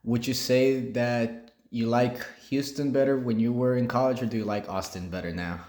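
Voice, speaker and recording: A man's voice: mid-range voice, fairly even intonation, normal speed, a little mellow. Young man interviewing his uncle. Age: young adult. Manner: casual, many filler likes and restarts. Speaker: student interviewer. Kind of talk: class project interview.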